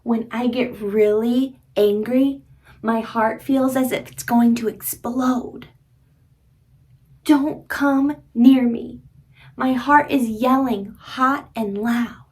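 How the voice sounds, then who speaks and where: Woman, thirties, fairly high voice, very slow pace, expressive children's read-aloud, simple teacherly phrasing.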